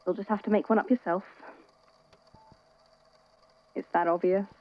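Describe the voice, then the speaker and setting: A woman playing a weary, caring friend in an audio drama, talking in a hesitant, conversational, gently wry way. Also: mid-range voice, steady tone, quick pace, muffled recording, natural voice. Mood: awkward, subdued. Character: worried, weary, caring friend at a hospital bedside. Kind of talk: naturalistic audio drama acting.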